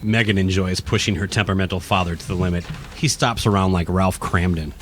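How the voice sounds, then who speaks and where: A man's voice: deep voice, steady tone, brisk, slight background noise. A man reading scripted narration for a horror radio play. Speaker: narrator, podcast host. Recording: music playing behind the voice.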